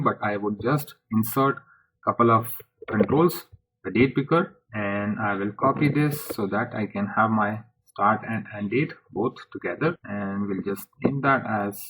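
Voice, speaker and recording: A man presenting a software tutorial step by step in an informal way, speaking haltingly in short phrases. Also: fairly low pitch, a little hiss or hum, low-quality recording.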